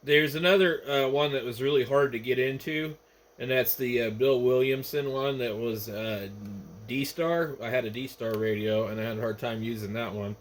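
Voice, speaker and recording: A man's voice: mid-range voice, steady tone, moderate pace. An American man, an amateur radio operator, chatting casually over the radio. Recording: noisy ham radio transmission, static behind.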